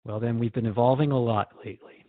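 A male radio host with a mid-pitched voice, heard through dry, phone-like audio. He interviews in a conversational way, curious and a little tentative, thinking aloud as he puts his questions. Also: fairly even intonation, fast talker, dry recording.

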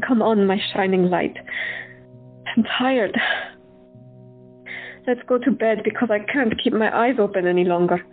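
A woman's voice: mid-range voice, slow, pauses often, soft background music. An actress playing a devoted woman doctor who speaks tenderly and earnestly in an audio drama. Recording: phone-like lo-fi.

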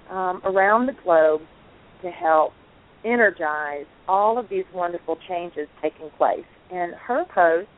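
A woman co-hosting an online talk show, chatting in an effusive, enthusiastic way. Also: fairly low pitch. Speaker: co-host. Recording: phone-like narrow line.

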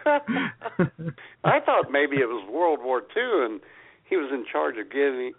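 A man's voice: mid-range voice, very animated, slow, phone-quality sound. A man co-hosting a comedy radio show in casual, bantering conversation.

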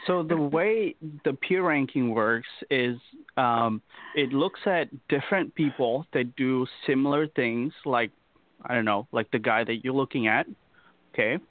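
A man's voice: medium pitch, a little slow, dry recording. A man, a company co-founder, answering interview questions, explaining patiently with many fillers. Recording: dry, tinny phone-line audio.

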